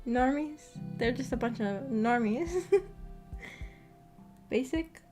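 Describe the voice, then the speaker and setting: A woman's voice: fairly high pitch, expressive, extremely slow. A young adult woman chatting casually on a livestream, thinking aloud in a hesitant, rambling, slightly giggly way. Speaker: streamer reading and answering live chat. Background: background music.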